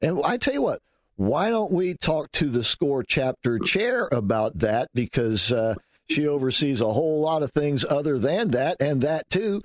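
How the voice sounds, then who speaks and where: A man's voice: on the high side, very animated, moderate pace, no echo. Affable male radio host, dry sound. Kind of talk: radio interview hosting.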